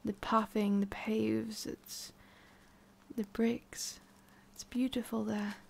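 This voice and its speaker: A woman voicing a haughty, prickly witch with a hidden soft side in an ASMR roleplay, soft and often whispery with a creaky edge. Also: a little high, level tone, slow, heavily acted. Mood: wistful, nostalgic. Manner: soft, often whispery, breathy sighs, curt asides.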